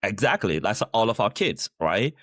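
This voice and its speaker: A man from Guinea, a podcast guest and company founder, talking in an animated, casual way with lots of "like" fillers and restarts. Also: on the low side, normal speed, dry recording, studio quality. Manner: animated, speaks with many "like" fillers and rephrasing. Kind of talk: casual conversational interview. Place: the Netherlands.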